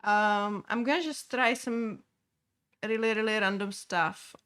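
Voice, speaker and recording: A young woman from Czechia with a Czech accent, chatting casually as she streams a game, halting with many fillers and restarts. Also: medium pitch, fairly bright tone, clear, resonant tone. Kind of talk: casual gameplay chat.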